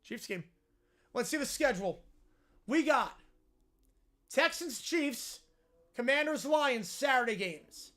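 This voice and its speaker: A man hosting a live sports reaction stream, chatting casually and answering viewers, his voice hoarse and worn out. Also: very high voice, lively intonation, takes it slowly, pauses often, fairly bright tone. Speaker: livestream host.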